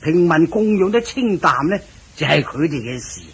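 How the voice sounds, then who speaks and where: A man's voice: fairly high pitch, very animated, normal speed, fairly bright tone, exaggerated voice. A man with a Hong Kong Cantonese accent playing a fat, fussy king in a radio drama, sighing and grumbling as if weary of the heat. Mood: insistent. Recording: clear background noise.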